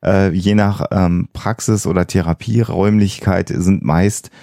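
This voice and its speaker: A man's voice: low-pitched, steady tone, measured pace, creaky, mellow, no room sound, clean studio sound. A man co-hosting a podcast, explaining things in a conversational way. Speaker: podcast co-host.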